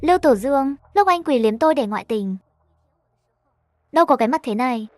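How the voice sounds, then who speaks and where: A woman's voice: sits high, animated, brisk. A woman voicing a bitter, frightened, accusing young mistress in a horror story.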